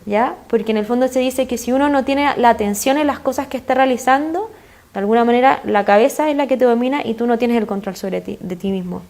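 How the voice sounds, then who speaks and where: A woman's voice: a little high, fast, darkish tone. A woman with a Chilean accent, a guest expert in an interview, explaining earnestly with frequent fillers. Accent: Chilean Spanish.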